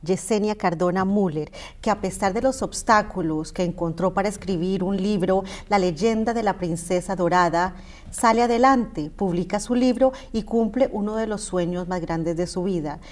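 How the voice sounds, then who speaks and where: Brisk, encouraging woman talk show host. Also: medium pitch, darkish tone. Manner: brisk, encouraging, many affirming ujús. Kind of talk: TV studio interview. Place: small TV studio. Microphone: lapel mic.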